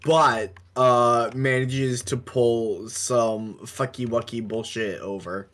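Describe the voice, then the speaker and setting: A man with a mid-range voice tells stories at an unhurried, slow pace. His casual co-host chat mixes mock-formal wording with goofy slang. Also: medium pitch, fairly even intonation, fairly bright tone. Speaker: podcast co-host.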